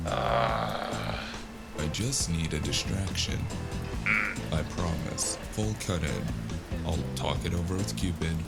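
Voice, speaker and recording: Casting: male actor as world-weary cyberpunk noir narrator, extremely low and unhurried, wry and brooding, over loud music. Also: creaky, exaggerated voice. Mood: casual, exasperated. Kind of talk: scripted first-person noir audiobook narration. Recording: constant loud music underneath.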